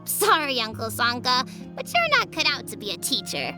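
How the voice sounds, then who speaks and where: A woman's voice: high voice, huge pitch swings, moderate pace. A woman voicing a sulky, whiny young boy, in a game cutscene with music behind. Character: sulky young boy upset about family.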